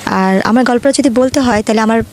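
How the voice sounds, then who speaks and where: A woman's voice: a little high, brisk, darkish tone, a little hiss or hum. A woman in her twenties from Dhaka with a Bangladeshi accent, telling her life story in an earnest, colloquial way as an interview guest. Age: 20s.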